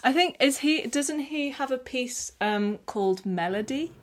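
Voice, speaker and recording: A young woman hosting a podcast interview, chatty and encouraging, reacting with warm enthusiasm. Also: high-pitched, lively intonation, unhurried, a little hiss or hum. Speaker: podcast host.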